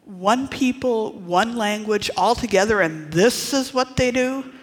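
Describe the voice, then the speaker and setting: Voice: mid-range voice, measured pace, lightly acted. A preacher voicing the Lord as an exasperated male deity in a short acted quote, with emphatic, incredulous delivery. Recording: long hall reverb.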